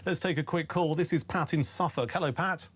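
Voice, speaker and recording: A man's voice: fairly high pitch, fairly quick, slightly rough. Upbeat male breakfast radio host. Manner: chatty, upbeat, leads the conversation. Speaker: radio talk show host. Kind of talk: live breakfast radio talk.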